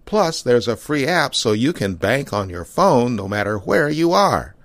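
Lively male announcer, dry close sound. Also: mid-range voice, unhurried, no room sound. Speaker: podcast host and ad reader. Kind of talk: scripted ad read. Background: faint music.

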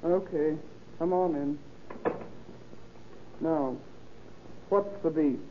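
An actress playing a tough, sarcastic crook's accomplice in a 1930s radio drama, defiant and slangy, with an American accent. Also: female voice, sits low, drawn-out words. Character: tough, sarcastic woman accomplice stonewalling police. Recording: muffled, faintly noisy 1930s radio recording.

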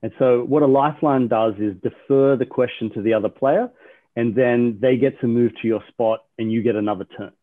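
A man's voice: medium pitch, steady tone, a little fast. Upbeat male podcast host on a phone line. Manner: upbeat, explains rules. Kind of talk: hosting a game-show style podcast.

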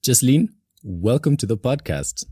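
A man's voice: mid-range voice, lively intonation, moderate pace, warm tone, husky, no echo, clean studio sound. A man hosting a podcast in a casual, chatty way, with many "you know" fillers. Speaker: podcast host.

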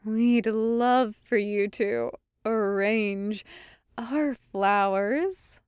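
Woman playing a brash, flirtatious female rogue, smooth-voiced. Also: fairly high pitch, expressive, extremely slow, a little mellow, dry recording. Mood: suggestive. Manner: suggestive, playfully emphatic.

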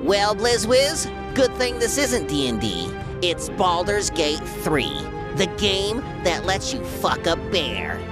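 A woman playing an excitable, loyal gamer sidekick with dramatic delivery in an animated comedy. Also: on the low side, takes it slowly, over music. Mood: smug. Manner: dramatic, wide-swinging delivery.

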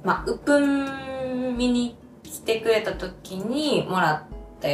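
A woman of around thirty with a Kansai accent, chatting casually and telling stories in an expressive way as a podcast co-host. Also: fairly high pitch, slow pace. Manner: animated, expressive storyteller. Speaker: podcast co-host, stage actress. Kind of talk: casual chat. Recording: roomy echo, faint music underneath.

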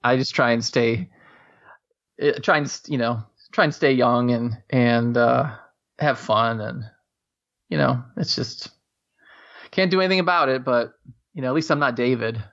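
A man in his fifties chatting casually on a podcast, rambling and halting with lots of fillers. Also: medium pitch, a bit bright. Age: 50s. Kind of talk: casual chat. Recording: dull lo-fi sound.

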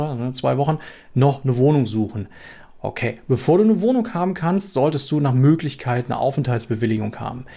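A man's voice: medium pitch, mellow. A middle-aged man from Germany with a standard Hanover accent, casually telling his own story to camera with lots of fillers and restarts. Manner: conversational, frequent fillers and restarts. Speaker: software developer vlogger.

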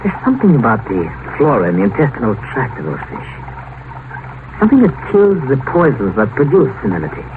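Brash, cynical doctor, crackly old radio. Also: male voice, medium pitch, wildly swooping pitch, a little fast. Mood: eager, confident. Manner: breezy, sardonic, domineering. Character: brash, cynical male doctor and longevity researcher. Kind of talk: 1950s radio drama acting. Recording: noisy, tinny old 1950s radio broadcast.

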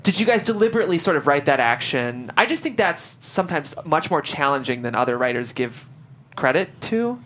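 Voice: mid-range voice, very animated, fairly quick, a bit bright. A host running a casual panel interview in an encouraging, complimentary way.

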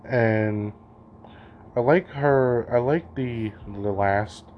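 A man's voice: medium pitch, drawn-out words, dull audio, a little hiss or hum. A young man talking through his thoughts as a student, hesitant and thinking aloud, with many "you know" fillers. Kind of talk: spoken reading reflection.

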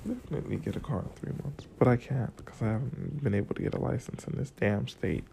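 Groggy gravelly young man rambling. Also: medium pitch, fast, warm tone, slight background noise. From Florida. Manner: loose, profane, drifts between stories and life lessons. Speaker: vlogger. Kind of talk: casual rambling monologue.

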